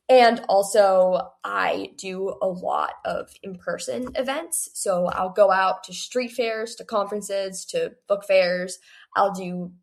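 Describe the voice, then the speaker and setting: 18 yr old girl being interviewed, lots of vocal fry, earnest storytelling way of talking. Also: medium pitch. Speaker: guest, young adult author. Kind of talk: conversational interview answers.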